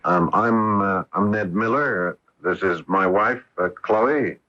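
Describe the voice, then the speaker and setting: An actor playing a bewildered, curious astronaut husband in a radio drama, excitable and halting when startled. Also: male voice, on the low side. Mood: polite, puzzled. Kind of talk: 1980s radio drama acting. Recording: dull lo-fi AM radio broadcast.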